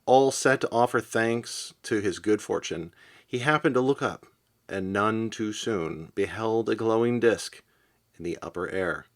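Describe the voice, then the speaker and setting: Male poetry reader, low voice, very slow pace, deliberate phrasing, pauses between lines. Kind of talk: literary poem reading.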